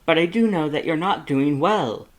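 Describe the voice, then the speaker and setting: A man voicing a loud, fussy skeleton who is the younger brother, scolding emphatically in a fan fiction audiobook reading. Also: fairly high pitch, moderate pace, pitched above the performer's own voice, natural voice, rough recording. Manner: scolding, emphatic, rising indignation.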